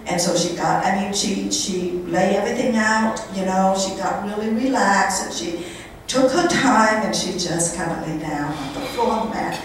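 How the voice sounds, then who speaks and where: A woman's voice: medium pitch, moderate pace. A middle-aged woman delivers a storytelling monologue in a conversational way, voicing bits of dialogue as she goes. She speaks into a handheld mic in a function room, heard from a distance with heavy echo and faint noise. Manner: conversational, animated retelling with quoted dialogue. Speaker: guest speaker at a charity event. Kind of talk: storytelling monologue to a live audience. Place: indoor function room at a country club. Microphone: handheld microphone, picked up by a far camera.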